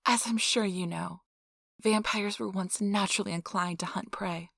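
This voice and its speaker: A woman's voice: mid-range voice, fairly even intonation, normal speed, creaky, fairly bright tone, no echo, clean studio sound. A woman playing a cheerful, earnest donor recruiter in an ASMR role-play, with a polite, reassuring customer-service manner. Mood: upbeat, reassuring. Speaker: donor acquisition interviewer.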